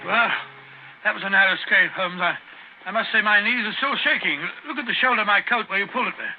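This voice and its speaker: An actor playing a fussy, doubting detective's companion in 1930s radio drama, blustering and halting. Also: male voice, high voice. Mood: shaken. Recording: tinny, hissy 1930s radio recording.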